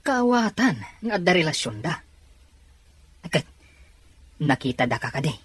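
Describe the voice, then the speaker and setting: Woman as brotherly confidant in a drama, low husky voice, frank and consoling. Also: sing-song, moderate pace, no echo, exaggerated voice. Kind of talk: acted dialogue drama.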